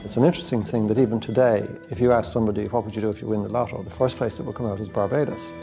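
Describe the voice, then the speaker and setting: Man, Irish accent, reflective interview talk, gravelly, mellow dark tone, faint music behind. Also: on the low side, fairly even intonation, rapid-fire. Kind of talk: documentary interview.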